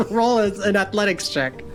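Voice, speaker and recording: A woman's voice: mid-range voice, expressive, unhurried, over music. A woman narrating a tabletop role-playing game as game master, describing scenes in a conversational storytelling style.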